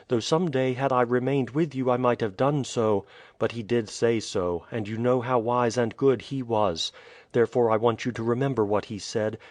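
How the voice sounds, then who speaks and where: A man's voice: mid-range voice, a little mellow, no echo, lightly acted. A man voicing an earnest, grieving young man in an audiobook, speaking in a solemn, earnest way.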